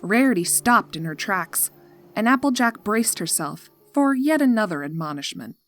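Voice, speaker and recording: A woman narrating a scripted horror story in a measured, atmospheric storytelling manner. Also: medium pitch, animated, a bit bright, soft background music.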